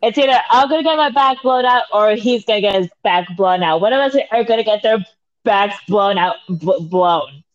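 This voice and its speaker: A woman's voice: mid-range voice, normal speed. Bantering woman on a dull lo-fi recording. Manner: laughing, crude banter. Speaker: podcast co-host. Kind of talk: casual chat.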